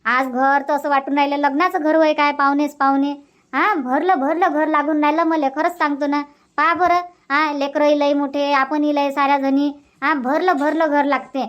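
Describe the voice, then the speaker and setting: A woman's voice: sits high, natural voice. A woman voicing chatty, sociable village women in a cartoon comedy, speaking colloquial Vidarbha Marathi with repeated phrases. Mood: cheerful, welcoming. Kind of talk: cartoon comedy dubbing in rural dialect.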